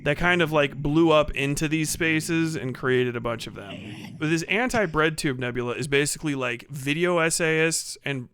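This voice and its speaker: Streamer, male, casual profane commentary, mumbles asides; smooth voice, dead-dry room. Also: on the high side, normal speed, a bit bright, dry recording. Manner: profane, muttering to himself while working. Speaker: livestreamer.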